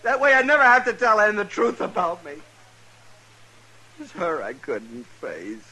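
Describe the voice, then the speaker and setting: A despairing frontier man, bitter and emphatic. His high voice swings widely with anguish and carries a tense edge. It is a hissy old radio drama recording. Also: lively intonation, measured pace, sharp tone, exaggerated voice, low-quality recording. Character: despairing, bitter man who wanted to die. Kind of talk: 1950s radio western acting.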